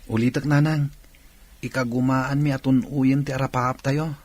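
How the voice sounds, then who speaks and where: A hopeful, sincere son in a village radio drama, played by a man. His medium-pitched voice has an Ilocano accent and is recorded dry and close. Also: little pitch movement, moderate pace, darkish tone, no echo, natural voice. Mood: earnest, hopeful. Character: earnest, hopeful son of a poor village family.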